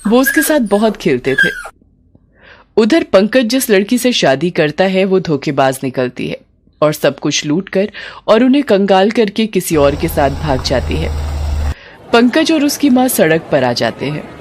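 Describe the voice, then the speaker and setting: A woman's voice: medium pitch, very animated, measured pace, darkish tone, slight background noise. A woman reading a scripted moral bedtime story as the narrator.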